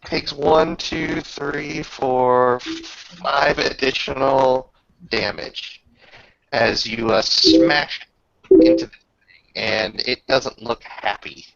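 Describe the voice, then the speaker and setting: A man narrating an improvised tabletop game as game master, halting with drawn-out pauses as he describes the action. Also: medium pitch, vocal fry, a bit bright. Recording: dull lo-fi voice recording.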